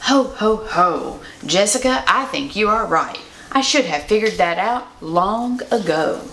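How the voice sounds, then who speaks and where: A woman's voice: mid-range voice, animated, measured pace, fairly bright tone, echoey room, slight background noise, rough recording. A woman voicing a jolly old man, a commanding but kind Father Christmas, hearty and authoritative in a children's storybook. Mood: jolly, conceding. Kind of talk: children's storybook read-aloud.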